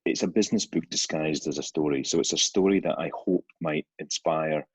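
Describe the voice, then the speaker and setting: A middle-aged man with a Scottish accent, a guest on an interview, talking in a halting way with fillers, restarts and dry humor. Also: rumbling low, husky, no echo. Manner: hesitant, many restarts and fillers, wry humor. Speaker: guest author. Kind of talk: casual interview chat.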